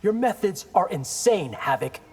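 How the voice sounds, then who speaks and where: An actor playing a brash, defiant young ninja in a video game, cocky and sardonic. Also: male voice, high voice, expressive, normal speed, a little mellow, breathy edge, faint music behind, heavily acted.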